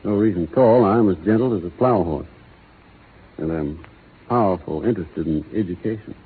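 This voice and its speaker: An actor playing a laconic young cowboy who talks in a slow, folksy rural drawl, in a 1930s radio drama. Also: male voice, low-pitched, husky. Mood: courting. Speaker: lead actor in a radio play. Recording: tinny 1930s radio broadcast with faint hiss.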